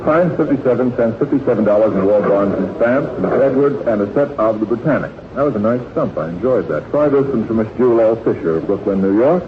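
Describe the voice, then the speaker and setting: A man's voice: on the low side, lively intonation, fast, hardly any pauses. A man hosting a live 1940s radio quiz, teasing and bantering with the panel between questions. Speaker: quiz show host. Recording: tinny, noisy 1940s radio broadcast.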